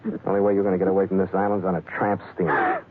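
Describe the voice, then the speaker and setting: Low-voiced, hard-boiled sea captain, old radio. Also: male voice, wildly swooping pitch, very fast, very noisy. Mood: cold, dry. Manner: terse, dry, world-weary delivery. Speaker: lead actor and first-person narrator. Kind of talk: 1940s radio acting, hard-boiled narration. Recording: tinny vintage radio recording, loud music.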